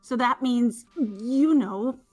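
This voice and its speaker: A woman's voice: on the high side, slow, a little mellow. A woman voicing a nervous, stuttering teenage schoolgirl in a fan-voiced game. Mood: defensive. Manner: stammering, defensive.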